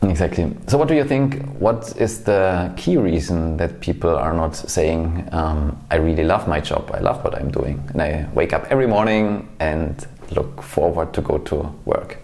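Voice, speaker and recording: Man, podcast interviewer, deep voice, measured and deliberate, conversational. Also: gravelly, a little mellow.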